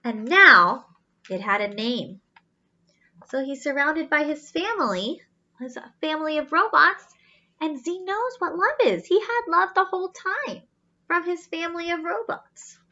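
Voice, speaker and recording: A woman reads a picture book to young children with a high, very animated voice. She takes her time, explaining and wondering aloud as she goes. The recording sounds slightly dull. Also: measured pace. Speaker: children's storybook reader. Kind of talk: animated read-aloud with asides to young listeners.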